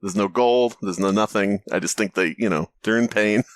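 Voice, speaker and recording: A man's voice: on the low side, normal speed, no echo. A man hosting a comedy podcast, reading a story aloud and riffing on it with friends. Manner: playful, lively, laughs often. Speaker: podcast host.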